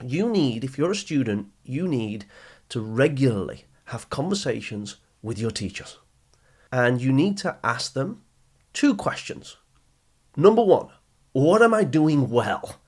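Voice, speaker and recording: A man speaks as an upbeat podcast host coaching a young audience. His mid-pitched voice has a husky edge. He addresses the listener directly and leans on key words with motivational emphasis. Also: very animated, measured pace. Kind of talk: motivational podcast talk to teenagers.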